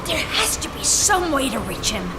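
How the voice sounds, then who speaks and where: A woman's voice: high-pitched, big pitch swings, normal speed, background noise. An actress playing a resolute warrior queen in a game cutscene, commanding, earnest and dramatic. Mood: pleading, urgent. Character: regal, resolute warrior queen, stern and protective.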